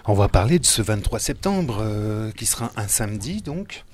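A man's voice: on the low side, very animated, moderate pace, darkish tone. A man hosting a local radio interview, asking questions with many fillers.

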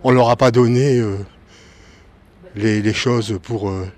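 A man from northern France, a former cook, giving frank opinions slowly with hesitations. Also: mid-range voice, fairly even intonation, darkish tone. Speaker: interviewed resident, former cook. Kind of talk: street interview.

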